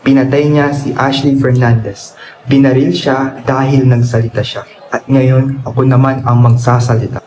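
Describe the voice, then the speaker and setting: A man's voice: mid-range voice, little pitch movement, moderate pace, darkish tone, a little hiss or hum, close to an everyday voice. A man acting a defiant, grieving son exposing his corrupt father in a solemn, declamatory Filipino radio drama style. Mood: accusing.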